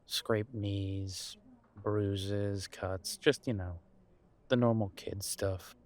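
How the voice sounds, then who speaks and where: A man acting in an intimate audio roleplay as a nervous, reassuring grown son, speaking haltingly and affectionately to his partner. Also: on the low side, steady tone. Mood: reflective, uneasy. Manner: hesitant, halting, sighing delivery with soft chuckles.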